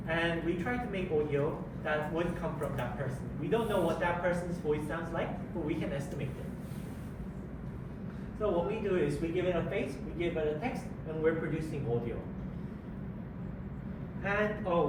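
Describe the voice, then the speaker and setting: A researcher giving a conference presentation, talking in a halting way with many fillers and restarts. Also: medium pitch. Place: presentation room. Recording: very echoey, distant mic, loud room noise.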